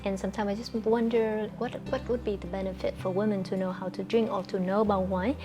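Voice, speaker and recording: A woman chats casually in the role of a host asking questions. Her voice sits at a medium pitch. Music is clearly audible behind her. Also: level tone, quick pace. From Vietnam. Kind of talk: casual conversational interviewing.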